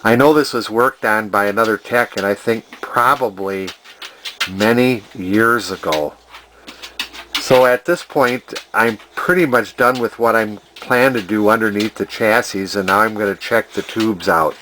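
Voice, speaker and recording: A man giving an informal hands-on repair demonstration in a halting way, thinking aloud as he explains. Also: fairly low pitch, pushed and bright, a little hiss or hum. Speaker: hobbyist radio repairer, presenter. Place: indoor workshop at a workbench.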